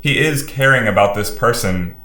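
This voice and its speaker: A man with a lowish voice and noticeable room echo speaks as a podcast panelist. He is casual but analytical, offering opinions in wordy sentences. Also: normal speed, sharp tone. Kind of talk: casual opinionated film discussion. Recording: roomy echo around the voice.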